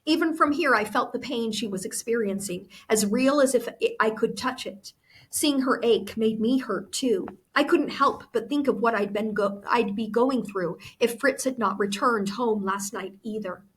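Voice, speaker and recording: A woman's voice: fairly high pitch, moderate pace, breathy edge. A woman teacher reading a novel aloud expressively to students. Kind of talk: expressive book read-aloud.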